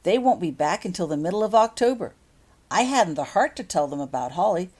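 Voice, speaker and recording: A woman's voice: on the low side, expressive, brisk, darkish tone, breathy edge. A woman voicing an anxious, emotional father in a storybook, blurting out his worries. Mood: worried, regretful. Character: anxious, emotional father, an ordinary grown man.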